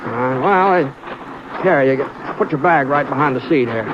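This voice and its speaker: A man plays an easygoing frontier rancher who stumbles over his words, with a mid-pitched voice. It is a 1950s radio western. The broadcast is muffled and carries a steady hiss. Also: close to an everyday voice. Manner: hesitant, halting with many uh's and restarts. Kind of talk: 1950s radio drama acting.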